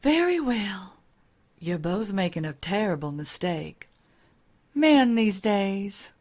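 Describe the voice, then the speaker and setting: A woman's voice: medium pitch, big pitch swings, slow pace, a little mellow. Woman playing a coy, entitled society lady. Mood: indignant. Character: genteel, entitled, flirtatious Southern future First Lady. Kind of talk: comic audio drama acting.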